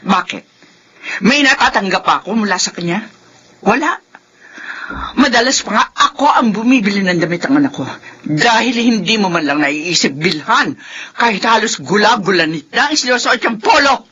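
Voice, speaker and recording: A bitter older mother-in-law who scolds and accuses, her voice bright and cutting with a husky edge. Her pitch swings widely as she lectures. It comes through a dull, lo-fi radio broadcast. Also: animated, moderate pace. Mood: scolding. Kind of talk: radio drama acting.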